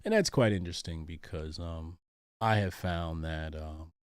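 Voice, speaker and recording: Low-voiced hesitant American man reading aloud. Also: measured pace, clear, resonant tone, no room sound, clean studio sound. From United States. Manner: hesitant, full of ums and uhs and restarts. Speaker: commentator reading a book aloud. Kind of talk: reading passages aloud and commenting on them.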